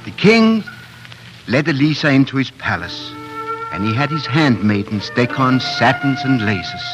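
A man's voice: mid-range voice, very animated, a little slow, rough recording. A man narrating a fairy tale in solemn, lyrical 1940s radio drama style. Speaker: storyteller narrator. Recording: old radio recording with music behind.

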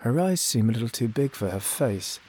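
A man's voice: mid-range voice, expressive, a little fast, dark tone, slight background noise, lightly acted. An actor playing a cunning, disgraced young noble, narrating his own fantasy tale with sardonic, literary bitterness. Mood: wry, brooding. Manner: theatrical, literary, sardonic storytelling. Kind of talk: first-person narration in a high fantasy audio drama.

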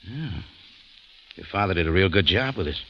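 A man's voice: deep voice, animated, moderate pace, a little hiss or hum. An actor playing a tough, wry hero who narrates his own story in a laconic, hard-boiled 1940s radio style. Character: tough, wry man running a cafe, first-person narrator. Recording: dull old radio recording.

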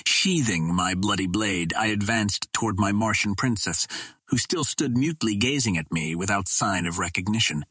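A man reading an adventure novel aloud as scripted dramatic narration, in a measured, literary storytelling manner. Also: lowish voice, bright, forceful tone, husky, no room sound. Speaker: audiobook narrator.

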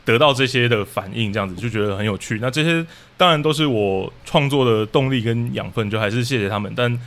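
Fast-talking Taiwanese man explaining things. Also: mid-range voice, a bit bright. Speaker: podcast guest, audio drama troupe leader. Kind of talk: casual explanatory interview talk.

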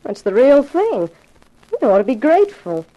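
A woman's voice: high-pitched, big pitch swings, moderate pace, dull audio. A woman playing a flirtatious, coaxing gambling club hostess in a 1950s radio drama. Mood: coaxing, sly. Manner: coaxing, familiar.

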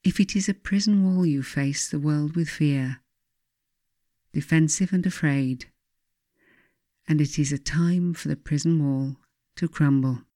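A woman reading her own spiritual self-help book as scripted narration, speaking deliberately and earnestly straight to the listener. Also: deep voice, warm tone, no room sound, studio quality.

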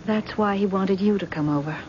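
Actress as an anxious wife, muffled old radio. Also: female voice, mid-range voice, darkish tone, a little hiss or hum, close to an everyday voice. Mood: uneasy. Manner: hesitant, halting delivery. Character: anxious, troubled wife keeping secrets. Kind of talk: 1970s radio drama acting.

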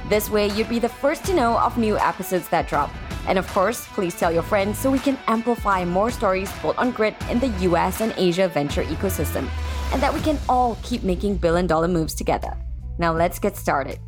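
A woman speaks as a podcast host and interviewer. Her voice sits at a medium pitch. Music can be heard behind her. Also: animated, brisk.

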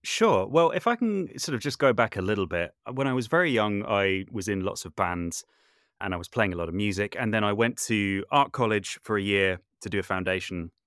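A man's voice: fairly low pitch, brisk, fairly bright tone, no room sound, studio quality. A man chatting casually as a guest in an interview. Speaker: podcast guest, audio drama producer.

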